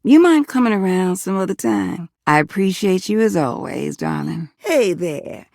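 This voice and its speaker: An actress playing a tender-hearted, proud household appliance come alive in a video game, with a folksy, candid manner. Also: female voice, on the low side, big pitch swings, normal speed, vocal fry, a little mellow, no echo, studio quality. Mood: wistful, tender, then affectionate, hesitant. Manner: folksy, affectionate, emotionally candid. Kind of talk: video game character acting.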